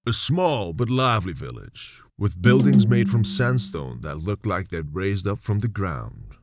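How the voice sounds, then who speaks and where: A man giving scripted narration for a radio drama in a steady storytelling manner. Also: lowish voice, measured pace, a little hiss or hum. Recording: narration over music.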